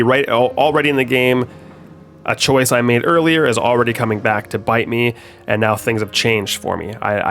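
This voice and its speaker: A man's voice: mid-range voice, fairly bright tone. A young man with a stuffed-up voice from a head cold, casually explaining the game he made as he plays it, with frequent ums and uhs. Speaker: game developer. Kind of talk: gameplay commentary.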